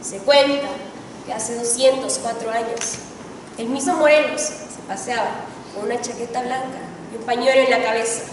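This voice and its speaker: A young woman student with a Mexican accent giving a formal, solemn oratory speech with emphatic delivery and dramatic pauses. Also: a little high, steady tone. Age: teens to young adult. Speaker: student contestant in an oratory contest. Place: large reverberant indoor hall. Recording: echoey hall, distant mic, faint noise.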